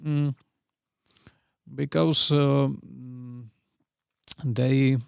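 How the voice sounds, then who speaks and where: A middle-aged man with a Czech accent, chatting as a podcast guest. He speaks slowly and haltingly, with lots of fillers, and his voice is gravelly. The sound is close and dry. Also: mid-range voice, level tone, dry recording. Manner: hesitant, many fillers and broken phrasing. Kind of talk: casual interview chat.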